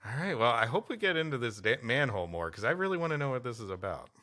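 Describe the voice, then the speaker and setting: A man's voice: medium pitch, sing-song, quick pace, bright, forceful tone. A man co-hosting a comedy podcast, mixing casual chat with reading a story aloud and joking along dryly. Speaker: podcast co-host and story reader.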